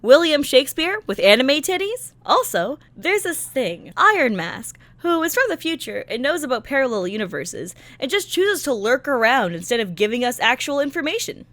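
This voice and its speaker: Animated, high-voiced sarcastic woman. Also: moderate pace, hardly any pauses, no room sound. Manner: sarcastic, exasperated, theatrical emphasis. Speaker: content creator reviewing a visual novel. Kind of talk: casual, slangy story recap commentary.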